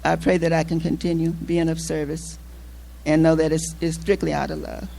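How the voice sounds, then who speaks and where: A woman's voice: low voice, monotone, normal speed, vocal fry, warm tone, rough recording. A woman giving an earnest personal public speech, recorded with some background noise and hum. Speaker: speaker closing a public address.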